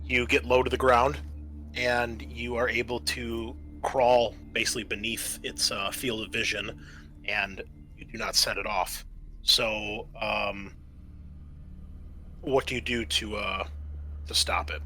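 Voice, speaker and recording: A man with a bright, forceful voice is running a tabletop roleplaying game. He narrates off the cuff and explains the options in a casual, conversational way. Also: medium pitch, level tone, takes it slowly. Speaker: game master.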